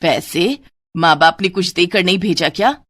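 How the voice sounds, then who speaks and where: A woman voicing a bossy, taunting middle-aged mother-in-law in a moral-story cartoon, with a sharp, nagging manner. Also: on the low side, moderate pace, no room sound. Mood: scolding.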